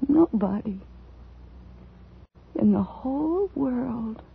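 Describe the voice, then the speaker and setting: Slow, creaky-voiced, unhinged woman. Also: on the high side, lively intonation, full character voice. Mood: forlorn. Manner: slow, halting, desperate delivery. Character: unhinged, despairing woman escaped from a mental institution. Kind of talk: 1950s radio thriller acting. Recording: tinny, noisy old radio recording.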